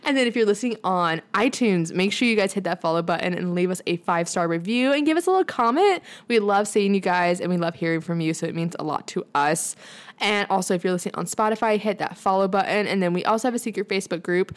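A woman's voice: medium pitch, fast talker. A young woman co-hosting a podcast from an office in her apartment, talking in a chatty, upbeat way full of "like.". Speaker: podcast co-host. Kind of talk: casual podcast chat.

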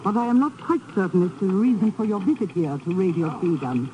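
A woman's voice: fairly low pitch, animated, quick pace, noisy background, natural voice. A woman playing a formal, guarded director of an émigré radio station in a 1950s radio drama, speaking precisely. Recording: vintage 1950s radio recording.

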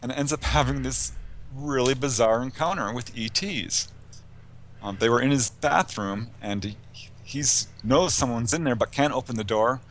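A man's voice: medium pitch, normal speed, fairly bright tone, a little hiss or hum. A man speaking conversationally as an interview guest, recounting anecdotes as a researcher and author, with a light chuckling manner.

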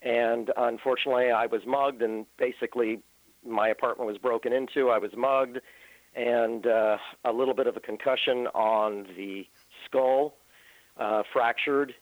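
A man's voice: medium pitch, fairly even intonation, normal speed, no echo. A man in his fifties, a guest on a radio interview, answering earnestly and at length with many ums. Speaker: paranormal researcher guest. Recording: tinny phone line, dry.

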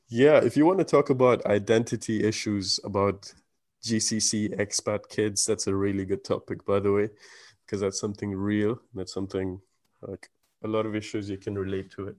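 A man from the Gulf, a guest on a podcast, talking in a thoughtful, measured way with pauses and ums. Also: on the low side, steady tone, a little mellow. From Gulf region. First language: not English. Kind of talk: casual chat.

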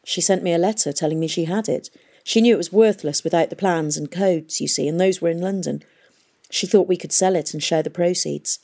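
A woman's voice: fairly low pitch, a little fast, vocal fry, dark tone. A woman reading the part of a guilt-ridden middle-aged father who confesses to murder, pleading and miserable. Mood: confessing.

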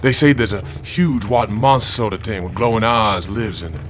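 Radio drama role male actor: superstitious Southern country lawman, lowish voice, spinning eerie legends, faint background noise. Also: normal speed, fairly bright tone, close to an everyday voice. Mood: ominous. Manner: folksy, ominous storytelling.

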